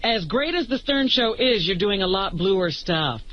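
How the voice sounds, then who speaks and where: A man's voice: high-pitched, expressive, unhurried, lo-fi sound, slight background noise. A man who works as a comedian, talking casually on a radio panel. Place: radio studio.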